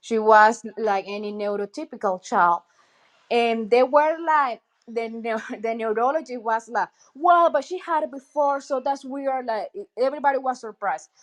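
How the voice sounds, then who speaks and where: A woman's voice: fairly high pitch, moderate pace. A woman from Colombia with a Colombian accent, a native Spanish speaker, earnestly sharing her experience on an online audio call, with many fillers and restarts. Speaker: client sharing a testimonial. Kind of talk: casual spoken testimonial.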